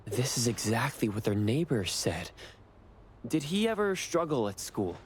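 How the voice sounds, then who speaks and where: A man's voice: medium pitch, big pitch swings, measured pace, a little hiss or hum. A man voicing a cool, reserved young investigator in an anime game, terse and matter-of-fact. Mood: blunt.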